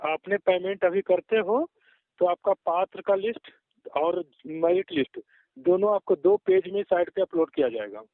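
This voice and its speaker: A man's voice: high-pitched, expressive, moderate pace, no room sound. A man talking in a phone call recording in a pushy, curt and insistent way. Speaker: caller soliciting payment for a job listing. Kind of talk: phone conversation.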